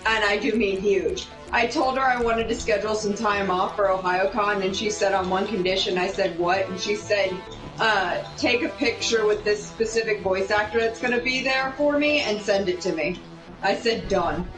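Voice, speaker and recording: A woman's voice: medium pitch, normal speed, distant mic. A young woman telling a casual, sweary story while gaming, rambling and easily sidetracked. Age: young adult. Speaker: storyteller and gamer. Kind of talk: casual storytelling while playing a video game. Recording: roomy echo with music behind.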